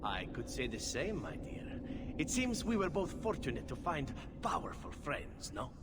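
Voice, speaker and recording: A man's voice: fairly high pitch, sing-song, normal speed. A man playing a suave, flirtatious elf assassin in a video game, with a playful, theatrical delivery. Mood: charming, flattering. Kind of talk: video game character acting. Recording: noisy ambience, long reverb.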